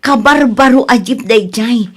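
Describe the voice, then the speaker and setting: A woman's voice: a little high, measured pace. A woman playing a worried, scolding but practical wife in an Ilocano radio drama, with an exasperated, admonishing manner. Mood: resigned, practical.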